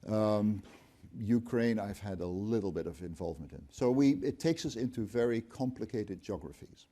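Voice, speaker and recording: A middle-aged man speaks from an auditorium stage into a lapel mic. His voice is mellow and rather low. He explains at an even pace, with dry asides and frequent ums. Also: moderate pace. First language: Dutch. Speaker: company CFO, guest speaker. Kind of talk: onstage conversation. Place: auditorium stage before an audience.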